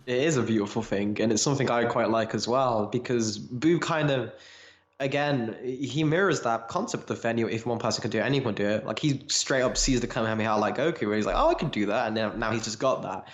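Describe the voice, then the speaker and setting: A man's voice: medium pitch, brisk. A man talking casually and analytically as a co-host of a fan discussion podcast. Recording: roomy echo, slightly dull lo-fi sound.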